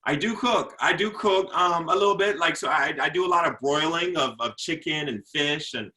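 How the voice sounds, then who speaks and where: A man being interviewed as a motivational speaker. He talks earnestly, often repeats words as he gathers his thoughts, and slows down and goes lower when he talks about faith. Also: bright, forceful tone. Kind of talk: casual interview talk.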